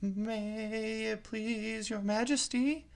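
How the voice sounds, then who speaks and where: Man voicing a high, humble frightened gardener. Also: fairly even intonation, gravelly, heavily acted. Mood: humble pleading. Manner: humble, drawn-out. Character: humble, frightened gardener begging a queen's pardon.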